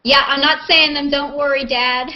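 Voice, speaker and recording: A woman's voice: steady tone, a little slow. A woman chatting casually and jokingly as the host of a group video hangout. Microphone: video call. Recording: muffled low-fidelity video call audio.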